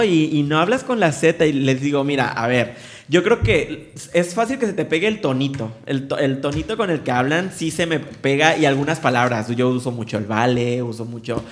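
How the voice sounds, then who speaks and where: A young man from Veracruz with a Mexican Spanish accent, chatting as an interview guest, friendly and quick to laugh. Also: on the high side. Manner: friendly, laughs often, many fillers. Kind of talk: casual interview conversation.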